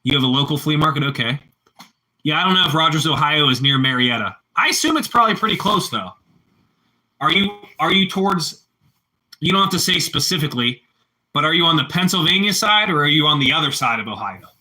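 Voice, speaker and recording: A man's voice: on the high side, animated, brisk, bright, forceful tone, rough recording. A man with a Pittsburgh accent chatting casually with live-stream viewers, rambling and repeating himself as he answers the chat.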